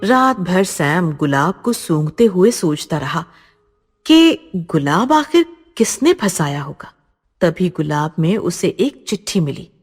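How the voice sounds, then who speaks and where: A woman's voice: lowish voice, big pitch swings, slow pace, husky. A woman storyteller giving dramatic scripted narration of horror tales. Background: background music.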